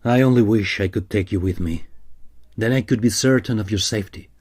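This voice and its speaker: Man acting a confident, protective Victorian gentleman. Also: on the low side, a little fast, lightly acted. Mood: earnest, reassuring. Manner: formal, earnest, well-spoken. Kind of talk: period audio drama acting. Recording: music behind the voice.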